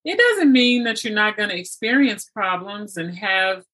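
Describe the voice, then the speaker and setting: A woman's voice: medium pitch, sing-song, normal speed, a bit bright. A woman hosting a conversational interview about faith, speaking in an affirming, agreeable way. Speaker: host interviewing a guest.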